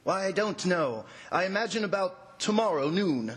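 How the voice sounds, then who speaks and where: A man's voice: high-pitched, animated, normal speed, a bit bright, breathy edge, exaggerated voice. An actor playing a deferential, questioning subordinate reporting to his superior in an old-time radio drama style. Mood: puzzled, deferential.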